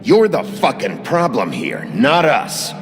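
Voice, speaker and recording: An actor playing a gruff, tough sheriff who talks in a blunt, curt, impatient way, in a game. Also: male voice, medium pitch, wildly swooping pitch, takes it slowly, over music. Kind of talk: video game voice acting. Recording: game audio over loud score.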